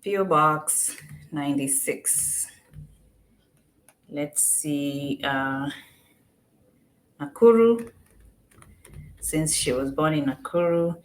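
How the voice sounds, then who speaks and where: Low-voiced Kenyan woman explaining step by step. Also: drawn-out words, long pauses. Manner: explanatory, repeats and rephrases points. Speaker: tutorial presenter. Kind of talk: informal step-by-step screen walkthrough.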